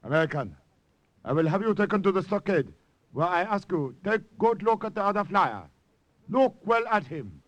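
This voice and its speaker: Menacing army colonel, swooping and high. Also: male voice, very animated, moderate pace, dry recording. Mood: cold command. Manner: clipped, formal, imperious. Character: menacing, suspicious Japanese army colonel interrogating prisoners. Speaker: actor. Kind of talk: 1950s radio drama acting. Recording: dry vintage radio recording.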